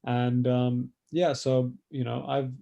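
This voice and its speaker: A man's voice: medium pitch, little pitch movement, slow, vocal fry, dark tone. A young man who was born in Delhi and grew up in Melbourne, a podcast guest telling his story in an easygoing way over dull lo-fi audio. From born in Delhi, raised in Melbourne. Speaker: guest, project co-founder. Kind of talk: conversational podcast interview.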